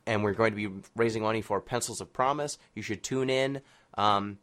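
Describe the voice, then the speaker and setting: A man hosts a casual interview podcast in a fairly low voice. He moves between questions for his guests and informal announcements for listeners. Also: moderate pace, fairly bright tone.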